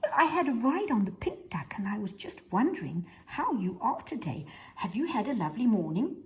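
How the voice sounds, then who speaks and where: A woman puts on a keen, polite little boy bear for a storybook. The voice is lifted high and swoops about excitably, with some background noise. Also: brisk. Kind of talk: children's storytelling.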